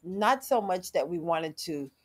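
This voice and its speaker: A woman's voice: on the low side, expressive, unhurried, husky. A middle-aged woman hosting a casual panel talk in a radio studio, speaking emphatically with preacherly asides. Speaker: talk show host.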